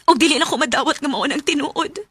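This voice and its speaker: A woman's voice: high voice, fast talker, a bit bright, no room sound, studio quality. A woman with a Cebuano Filipino accent acting a guilt-ridden young widow in love in an emotive, sentimental radio drama. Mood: tender, confessing. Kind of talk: Philippine radio drama acting and first-person narration. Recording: music bed under the voice.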